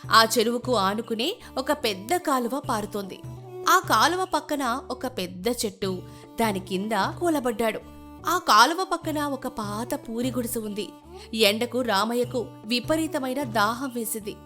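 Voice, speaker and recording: A woman's voice: fairly high pitch, expressive, unhurried, bright, forceful tone, soft background music. A woman giving scripted, dramatic narration as the narrator of a story.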